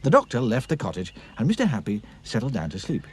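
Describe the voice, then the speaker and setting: A man narrating a children's cartoon story in an expressive storybook style. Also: medium pitch, fast talker, husky.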